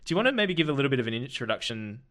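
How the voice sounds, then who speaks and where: A man's voice: medium pitch, sing-song, rapid-fire, a bit bright. A man hosting a business podcast, speaking as an interviewer. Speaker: podcast host, marketing consultant. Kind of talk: podcast introduction and conversational interview.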